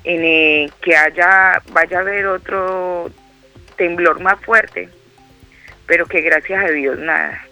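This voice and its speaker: A woman's voice: on the low side, fairly even intonation, takes it slowly, pushed and bright, faint music behind, poor audio. A middle-aged Colombian woman speaking by phone, hesitant, with many fillers and repeated words. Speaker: guest caller. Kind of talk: phone interview.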